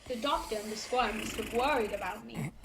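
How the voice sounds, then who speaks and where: A woman playing a plucky boy adventurer who narrates his own tale in measured, literary style for a radio play. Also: on the high side, noisy background, natural voice. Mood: proud, earnest.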